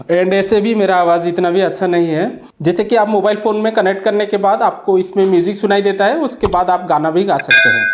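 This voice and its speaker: An Indian-accented woman hosts a casual unboxing review. Her voice sits at an ordinary middle pitch for a woman. She explains the product like a tech channel presenter talking to viewers. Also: a little hiss or hum.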